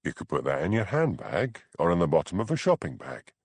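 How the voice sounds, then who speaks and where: A man reading an audiobook, voicing a calm, plain-spoken village policeman with a Scottish Highland accent. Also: brisk, gravelly, slightly rough, no echo, exaggerated voice.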